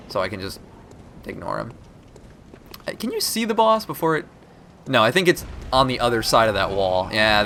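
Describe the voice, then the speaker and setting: Stuffy-nosed young man rambling over gameplay. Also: on the low side. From Florida. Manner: rambling, chatty, swears casually, talks to the viewer. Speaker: let's play host. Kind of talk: casual gameplay commentary. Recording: recording with clear background noise.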